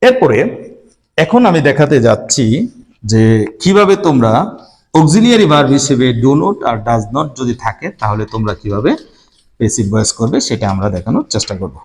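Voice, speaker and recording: A male teacher speaking at an ordinary mid pitch, walking students through grammar rules one step at a time. He addresses the class directly and breaks off between words while writing examples out. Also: sing-song, fairly quick, a little mellow. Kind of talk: whiteboard grammar lesson.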